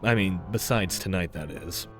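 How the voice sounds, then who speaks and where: Casting: man as young rogue thief for a comic dub, lowish voice, suave, wry, cocky, music underneath. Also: normal speed, natural voice. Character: young male master thief raccoon, suave, cocky, wistful. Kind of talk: comic dub voice acting with narration.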